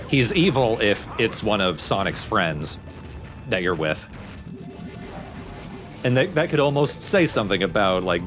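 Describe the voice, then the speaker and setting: A man giving casual, explanatory commentary on a video game. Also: fairly low pitch. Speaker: let's play commentator. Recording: game music behind the voice. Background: loud video game music.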